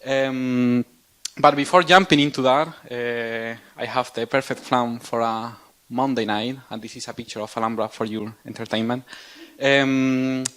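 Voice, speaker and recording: A man's voice: medium pitch, steady tone, a little slow, a bit bright. A man in his twenties from southern Spain with a Spanish accent, giving a casual, self-deprecating public lecture in a lecture room. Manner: friendly, self-deprecating, joking with audience. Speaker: guest speaker.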